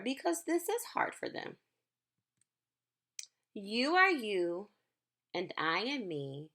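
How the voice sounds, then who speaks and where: A woman's voice: medium pitch, fairly bright tone. A woman reads a picture book aloud to young children and talks to them in an encouraging, reassuring way as a school counselor.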